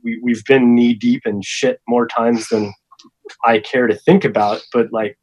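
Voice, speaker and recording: A man, a guest who lives on a sailboat, telling stories in a relaxed, chatty way. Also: medium pitch, unhurried, poor audio. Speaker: guest, sailor living on a boat. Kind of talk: casual storytelling.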